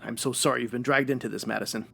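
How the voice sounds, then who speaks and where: A man playing an earnest, stern, dryly patient superhero with a husky voice, in the manner of an old radio serial. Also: medium pitch, steady tone, rapid-fire, a bit bright.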